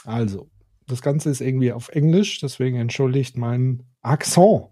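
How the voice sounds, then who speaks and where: A man's voice: mid-range voice, moderate pace, mellow, breathy edge. Man co-hosting a podcast, faintly darker voice. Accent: German. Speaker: podcast co-host. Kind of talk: casual podcast discussion.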